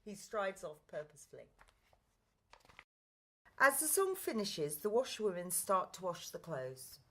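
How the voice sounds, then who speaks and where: A woman's voice: medium pitch, very animated, a little slow, pushed and bright. A woman narrating a play script with its stage directions.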